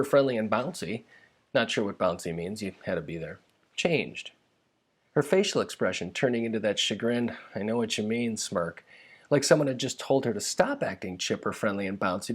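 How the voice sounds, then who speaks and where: A man's voice: mid-range voice, breathy edge. Wry man reading a humorous personal essay. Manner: wry, conversational, self-deprecating. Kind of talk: scripted humorous first-person narration.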